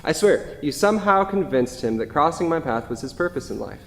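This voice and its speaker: A man's voice: on the high side, animated, fairly quick, creaky. Man acting a wistful grieving widower, echoey hall. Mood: wistful, rueful. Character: grieving widower, wistful, gently rueful husband. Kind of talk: staged play reading. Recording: echoey hall with long reverb.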